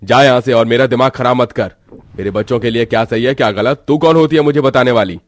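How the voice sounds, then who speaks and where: A man's voice: mid-range voice, brisk, no room sound, close to an everyday voice. A man voicing an arrogant, dismissive rich father who talks scornfully and bossily in a cartoon story. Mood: angry. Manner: scornful, bossy.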